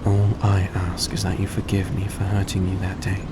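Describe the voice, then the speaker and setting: A man playing a courteous, gentle vampire in an intimate audio roleplay, speaking politely and a little hesitantly to the listener. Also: sits low, moderate pace, lightly acted. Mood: hesitant, caring. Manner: soft-spoken, polite, hesitant, formal phrasing. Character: courteous, gentle male vampire repaying a debt. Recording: steady background noise behind voice.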